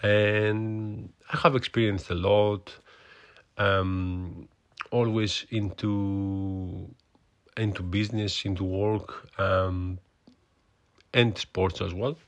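A man's voice: sits low, steady tone, drawn-out words. A man with a Greek accent, hosting a solo podcast and talking with many hesitations and fillers. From Greece. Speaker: podcast host.

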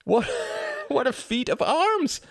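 A man's voice: medium pitch, sing-song, moderate pace, no echo, clean studio sound, voice pushed up, close to an everyday voice. A man voicing a gleeful, cheeky young boy in a storybook, breathless and grandiose. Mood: triumphant glee.

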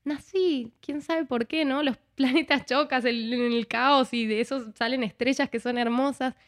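A woman speaking as a guest in a reflective interview, expressive and conversational, often voicing bits of dialogue as she talks. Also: sits high, moderate pace. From Argentina.

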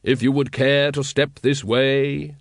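A man voicing Death as a stately skeleton, calm, grave and measured, in an audiobook. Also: medium pitch, dry recording, clean studio sound. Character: Death, stately skeletal male reaper, calm and matter-of-fact. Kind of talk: audiobook character acting.

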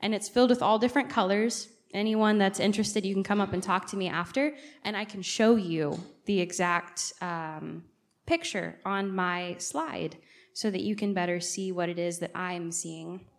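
A woman's voice: mid-range voice, moderate pace. A woman, a guest preacher and native English speaker, giving a conversational church sermon and often putting questions directly to the congregation. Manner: engages listeners with frequent direct questions.

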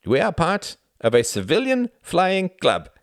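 Man putting on cocky young German pilot, pitched up. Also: huge pitch swings, measured pace, no echo, clean studio sound, heavily acted. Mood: boastful, sly. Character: boisterous, cocky young German male pilots, militaristic swagger.

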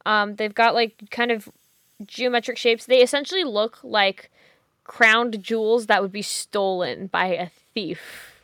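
A woman's voice: mid-range voice, unhurried, a bit bright, no room sound, studio quality. A woman chatting casually on a podcast, giggly and talkative, with many fillers as she describes things. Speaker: podcast co-host. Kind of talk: casual chat.

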